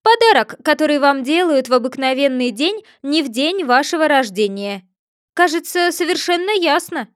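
A woman's voice: high-pitched, lively intonation, dry recording, clean studio sound, voice pushed up. A woman voicing a pompous, touchy male egg figure who lectures and takes offense easily. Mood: condescending. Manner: haughty, lecturing, quick to take offense.

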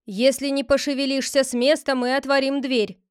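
Nervous clerk guy begging folks to hold off, woman doing him, high voice. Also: little pitch movement, normal speed, dry recording, clean studio sound, lightly acted. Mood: urging caution. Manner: pleading, reasoning. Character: cautious village clerk, man.

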